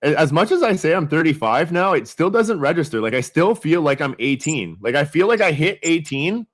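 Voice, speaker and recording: A man's voice: a little high, big pitch swings, fairly quick. A man in his mid thirties co-hosting a comedy podcast, rambling and leaning on the word "like" constantly. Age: 30s. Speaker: podcast co-host. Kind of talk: casual comedy podcast chat.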